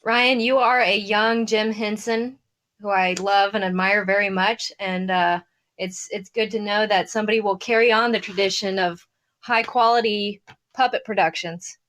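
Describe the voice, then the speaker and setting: Female show participant, medium pitch, casual conversational delivery. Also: steady tone, moderate pace, a bit bright. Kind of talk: casual chat.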